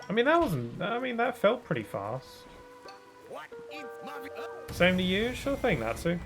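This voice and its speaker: A man's voice: high voice, measured pace. Sniffly man thinking aloud, faint music. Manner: chatty, thinking aloud, bursts of excitement. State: sniffly from hay fever. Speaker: streamer. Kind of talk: casual gaming commentary.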